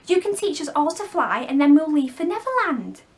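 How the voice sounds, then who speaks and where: A woman's voice: sits high, sing-song, moderate pace, voice pushed up. A woman voicing a kind, polite and slightly timid young girl in a storybook reading. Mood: eager.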